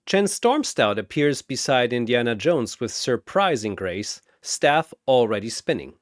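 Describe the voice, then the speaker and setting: A man narrating a fantasy battle in a bombastic, theatrical style with drawn-out emphasis, like an arena announcer. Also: mid-range voice, big pitch swings, no echo, clean studio sound.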